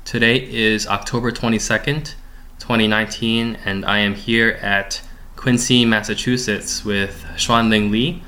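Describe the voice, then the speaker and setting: A man's voice: on the low side, fairly even intonation, a little slow, bright, forceful tone. A young man, a graduate student, conducting an oral history interview with polite, formal questions.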